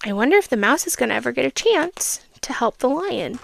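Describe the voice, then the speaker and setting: A woman reading a children's storybook aloud, expressive and teacherly, with asides and questions for the listener. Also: sits high, a little fast, gravelly, a little hiss or hum.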